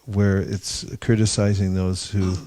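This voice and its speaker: A man's voice: sits low, flat delivery, a little slow, mellow. A man leading a devotional class discussion, telling anecdotes with wry humor. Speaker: discussion leader. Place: gathering in India.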